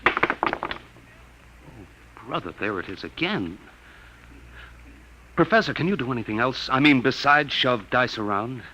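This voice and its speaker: A man's voice: mid-range voice, expressive, unhurried, long pauses, background noise, natural voice. An actor playing a down-to-earth young American man, colloquial and wisecracking, in the manner of 1950s radio drama. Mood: amazed. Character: slangy, down-to-earth young man, the professor's loyal confidant.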